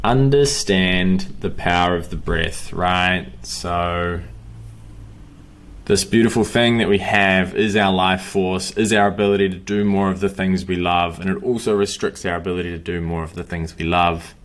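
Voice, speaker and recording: A man's voice: deep voice, steady tone, moderate pace. A young man coaching runners, wrapping up a course in upbeat, motivational short phrases. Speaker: running and breathing coach. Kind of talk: course wrap-up talk to camera.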